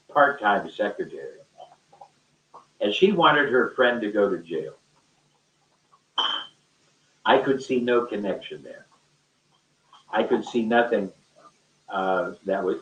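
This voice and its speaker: A man telling a rambling, conversational story in a halting, emphatic way, often repeating his phrases. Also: lowish voice, a bit bright. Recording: roomy echo, distant mic, muffled sound.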